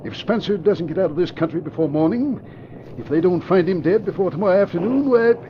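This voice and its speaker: An actor playing an anxious, scheming crime boss in an old radio drama, agitated and halting. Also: male voice, high-pitched, animated. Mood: worried, agitated. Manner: agitated, halting, swinging between alarm and calculation. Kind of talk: 1940s radio acting. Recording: muffled old radio recording with hiss.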